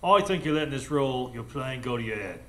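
An actor playing a gruff, dismissive, sarcastic police inspector in a live radio drama. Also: male voice, medium pitch, animated, fairly quick, a bit bright, lightly acted. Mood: scornful, suspicious. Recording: live stage with background noise.

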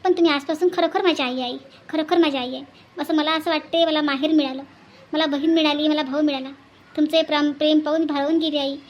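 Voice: high-pitched, fairly even intonation, quick pace, muffled recording, lightly acted. A performer voicing an earnest, tender city woman in a cartoon melodrama, speaking politely, pleadingly and sentimentally. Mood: tearful gratitude. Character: earnest, tender orphanage woman from Mumbai, bidding farewell.

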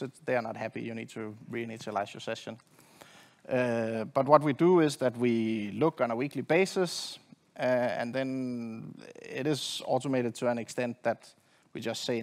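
A middle-aged man giving a technical presentation from a conference stage in a halting, hesitant way. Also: mid-range voice, creaky. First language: not Swedish. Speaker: conference presenter, network engineer. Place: conference stage at a lectern, indoors.

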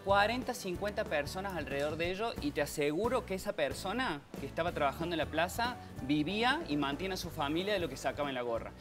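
A man from Córdoba with a strong local Argentine accent talks with passion as a guest on a TV studio couch. His voice sits a little high for a man. A music bed runs clearly beneath the lapel-miked interview. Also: quick pace, few pauses. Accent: Cordobés Argentine Spanish. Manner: passionate, animated with hand gestures. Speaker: actor and guest.

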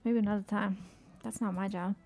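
A young woman streaming a game, murmuring casual commentary to herself and often slipping into a whisper, with a soft, soothing voice. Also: mid-range voice, steady tone, fairly quick.

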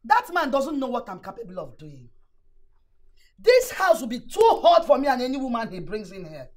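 A woman's voice: a little high, wildly swooping pitch, moderate pace, a little mellow. An actress playing a defiant, fed-up wife and mother. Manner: defiant, emphatic.

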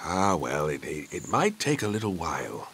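A kindly, blustery older cartoon gentleman. He stammers gently, his bright voice leaping widely up and down. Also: rumbling low, big pitch swings, moderate pace, slight background noise, exaggerated voice. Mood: gently consoling. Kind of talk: preschool cartoon voice acting.

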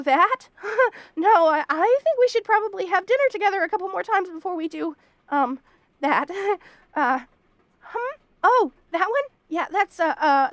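Very high-pitched, flustered bunny girl. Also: dry recording. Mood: shy, coy. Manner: stammering, flustered, protesting. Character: shy, easily flustered young bunny girl roommate. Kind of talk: romantic comedy audio roleplay, spoken to the listener.

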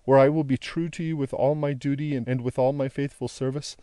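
Casting: man as devoted young daughter in classic audiobook. Gentle, pleading, rhythmic appeals, soft dark timbre, dry sound. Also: fairly even intonation, fairly quick, smooth, no echo, natural voice. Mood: fervent consoling. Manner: low, tender, imploring, rhythmic appeals.

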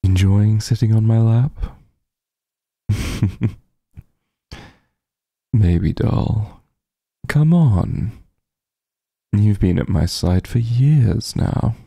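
A man playing a teasing, protective vampire mafia heir in an intimate ASMR roleplay, with a husky, gravelly, breathy voice and a sly murmur. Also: fairly low pitch, no room sound, studio quality, full character voice. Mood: playful, affectionate. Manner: slow, smooth-tempered, teasing drawl with soft murmurs.

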